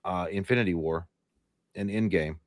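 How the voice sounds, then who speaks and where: Man, naturally deep voice, low pitch, blunt profane movie chat, dry close mic. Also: moderate pace, no echo. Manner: blunt, profane banter with fillers. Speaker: podcast co-host. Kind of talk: casual live movie chat.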